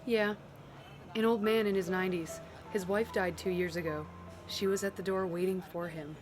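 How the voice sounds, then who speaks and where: A woman's voice: medium pitch, steady tone, moderate pace, natural voice. A woman playing a level-headed, probing female reaper in an audio drama, with a direct, dry manner. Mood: dry, casual. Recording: music behind the voice.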